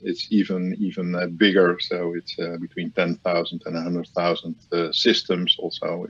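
A man talks slowly through technical answers, pausing often on fillers. His voice is very low, flat and gravelly, a little husky, and the recording sounds dull. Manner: measured, halting explanations with many fillers. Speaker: head of a supercomputing department.

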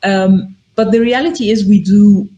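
A middle-aged woman from Egypt, a podcast guest, explaining her work in a measured way with frequent ums. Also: mid-range voice, fairly even intonation, warm tone, lo-fi sound. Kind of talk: casual interview talk.

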